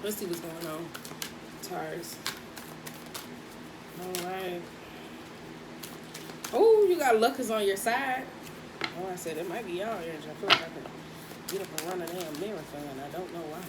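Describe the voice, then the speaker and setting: A woman with a husky voice doing a casual, chatty tarot reading, speaking in with laughs and slangy asides. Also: on the low side, animated, normal speed, long pauses, heavy background noise. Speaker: tarot reader.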